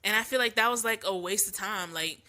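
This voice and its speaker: Congested, chatty woman podcaster with a bright voice. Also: medium pitch, a little fast. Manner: chatty, slangy, opinionated, many ums. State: congested from allergies. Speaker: podcast host. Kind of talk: casual opinion monologue. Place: at home.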